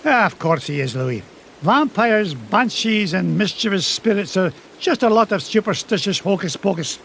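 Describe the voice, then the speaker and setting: An actor playing a bold, fond old Scottish duck uncle on a treasure hunt in a cartoon game. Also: male voice, high-pitched, animated, moderate pace, creaky, a bit bright, slight background noise, heavily acted. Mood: brisk, confident. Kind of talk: animated cartoon game acting.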